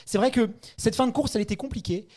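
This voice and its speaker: A man hosting a casual live podcast interview with a slightly hoarse voice, chatty and informal as he questions his guests. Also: extremely high pitch, fast, a little mellow.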